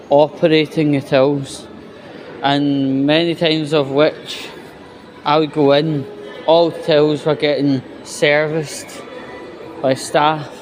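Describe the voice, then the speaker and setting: Slow-talking Scottish man over loud noise. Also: on the high side. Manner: blunt, sweary, conversational. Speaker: video blogger, host. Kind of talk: casual walk-and-talk vlog monologue. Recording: noisy outdoor walking recording, constant background noise.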